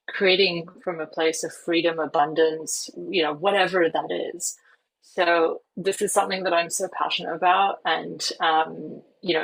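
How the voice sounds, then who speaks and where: A woman's voice: on the low side, gravelly, slightly rough, low-quality recording. A woman in her forties from Singapore with a hard-to-place international accent, talking earnestly as a coach guest on a podcast, with many fillers and restarts. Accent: hard-to-place international English. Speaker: guest, life and career coach.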